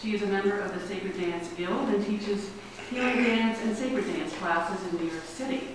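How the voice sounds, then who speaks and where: Woman host reading an introduction, lowish pitch, distant mic, audible hiss. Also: moderate pace. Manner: reads a biography with hesitations and fillers. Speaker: society director introducing the speaker. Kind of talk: formal introduction. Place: meeting room before an audience. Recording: echoey room, mic far off, background hiss.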